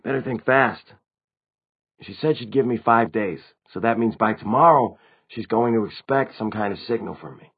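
A man's voice: lowish voice, normal speed, slightly rough, narrow, tinny audio, voice pushed up. A man voicing an anxious, earnest, defensive teenage vampire in an audiobook story, with emphatic delivery. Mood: urgent.

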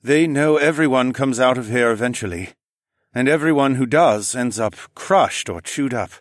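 A man's voice: mid-range voice, normal speed, dry recording, studio quality, voice pushed up, lightly acted. A man voicing a nervous, exhausted French engineer in his thirties, hesitant and stammering, in an audiobook story. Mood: weary.

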